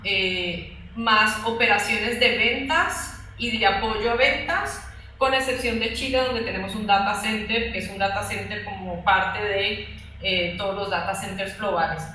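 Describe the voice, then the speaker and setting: Bright-voiced woman in an echoey room. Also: mid-range voice, fairly even intonation, unhurried. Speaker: technology company executive, interview guest. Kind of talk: explanatory interview answers. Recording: very echoey room, faint background noise.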